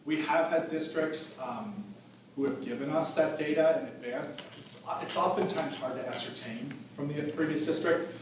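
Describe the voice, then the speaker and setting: A man's voice: a little high, normal speed, background noise. A man explaining assessment data to a school board in a conversational way, often repeating words while he thinks aloud. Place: board meeting room. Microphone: table microphone.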